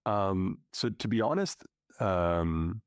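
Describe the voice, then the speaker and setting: A middle-aged man, a podcast guest who grew up speaking Russian, talking thoughtfully with many pauses and fillers. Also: deep voice, lively intonation, clean tone, no room sound, clean studio sound. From grew up in many countries. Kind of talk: reflective conversational interview.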